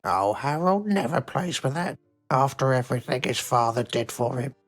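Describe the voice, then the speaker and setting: A woman's voice: very deep voice, normal speed, faint music behind. An actress playing a stern, controlling mother, prim and blithely callous. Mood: prim disapproval.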